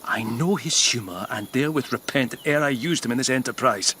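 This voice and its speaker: A man's voice: mid-range voice, a little fast, sharp tone, lightly acted. A man acting a cold-blooded, scheming young nobleman in a classical verse radio drama, commanding and conspiratorial. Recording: clear ambient noise, chirping insects.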